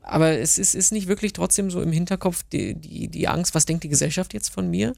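Gravelly-voiced radio talk host. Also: mid-range voice, brisk, darkish tone, no echo. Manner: friendly, inquisitive, some stammered repeats. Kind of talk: radio interview hosting. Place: radio studio.

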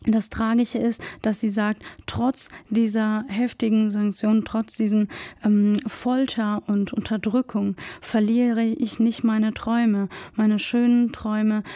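A woman's voice: on the high side, dark tone, dry recording. A woman from Afghanistan speaking earnestly and with feeling as a guest in a personal interview. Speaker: interview guest, women's aid activist.

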